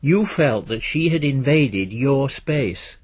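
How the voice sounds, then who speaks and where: A man's voice: medium pitch, lively intonation, slow, husky. A man voicing a reserved, polite older woman in a story reading, speaking carefully and tentatively.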